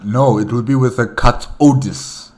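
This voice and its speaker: A man's voice: mid-range voice, fairly even intonation, measured pace, darkish tone. A man giving casual solo reaction commentary as a host, often repeating words as he searches for the next one.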